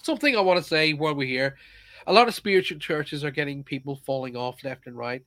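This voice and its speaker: Middle-aged male host, fairly high voice, chatty and upbeat, dry studio mic. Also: fast, no room sound. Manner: chatty, laughs often, warm enthusiasm. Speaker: show host. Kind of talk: casual live show chat.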